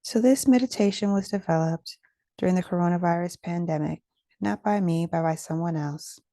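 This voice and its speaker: A woman leading a guided meditation in a gentle, soothing, measured way, with pauses between phrases. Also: on the low side, dry recording.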